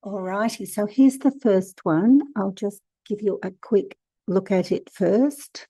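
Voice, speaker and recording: A hoarse woman, hosting a casual screen-share tutorial and giving chatty, direct, practical advice. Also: mid-range voice, a little slow, mellow, dry recording. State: hoarse from a cold. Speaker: e-commerce consultant and channel host.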